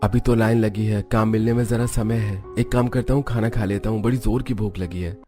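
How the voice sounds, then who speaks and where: A man's voice: on the low side, steady tone, fairly quick, over music, natural voice. A man voicing a weary, hardworking poor labourer devoted to his family in a cartoon drama. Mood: resigned, wistful.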